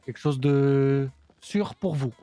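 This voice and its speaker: A man voicing a composed, businesslike supplier with a German accent, talking in measured sales patter. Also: mid-range voice, dark tone, lightly acted. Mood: calm selling. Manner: smooth, measured salesman talk. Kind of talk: roleplay in character.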